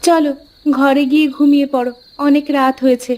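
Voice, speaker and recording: A woman's voice: high voice, level tone, fairly quick, warm tone, lightly acted. A woman voicing a caring, practical village farmer's wife in a cartoon folk tale, homely and coaxing. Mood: gentle, wistful.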